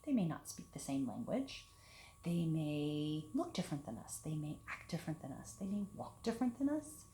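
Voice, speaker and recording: A woman leading a children's storytime, talking and reading aloud in simple, deliberate phrases meant for young children. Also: low-pitched, big pitch swings, warm tone. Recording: echoey room with background hiss.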